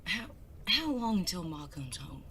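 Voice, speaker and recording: A woman's voice: lowish voice, sing-song, unhurried, pushed and bright, a little hiss or hum, full character voice. A woman acting in an audio drama as a grown bandit son, charming and evasive, with a genteel, wordy, hesitant way of talking. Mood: nervous.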